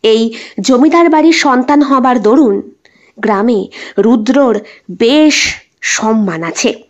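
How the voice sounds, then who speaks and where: A woman's voice: fairly high pitch, slow, muffled recording. A woman narrating an audio story in a dramatic storytelling style.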